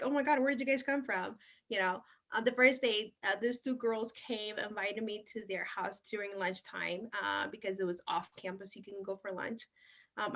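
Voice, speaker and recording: A young adult woman from Ethiopia, a show guest telling her life story in a casual, unhurried way, with many ums and uhs. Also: on the high side, a bit bright.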